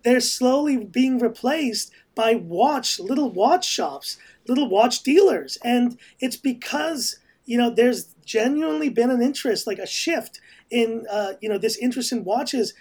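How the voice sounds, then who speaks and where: A man's voice: very high voice, lively intonation, unhurried, darkish tone, husky. A man with an American accent, a podcast guest talking casually and rambling with many restarts. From raised in Hong Kong, family from El Salvador. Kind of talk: casual conversation.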